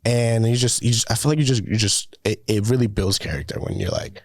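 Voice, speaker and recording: A man's voice: medium pitch, lively intonation, a little fast, no room sound, clean studio sound. A young man from the Dominican Republic, a student filmmaker, talking casually and rambling with many fillers; his first language is Spanish. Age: young adult. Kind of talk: casual conversation.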